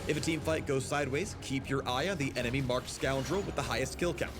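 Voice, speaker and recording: A man's voice: medium pitch, fast talker. A man reading scripted promotional narration for a game video in a punchy, theatrical announcer style. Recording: narration over music. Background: music.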